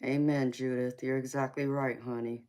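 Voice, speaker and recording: A woman's voice: very deep voice, flat delivery, moderate pace, warm tone. A woman in her forties with a Southern accent and twang, chatting casually with viewers on a tarot livestream, calling them baby and honey. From Georgia, US. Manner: affectionate, calls viewers baby and honey, laughs often. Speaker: tarot reader livestream host. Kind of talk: casual live chat reading comments.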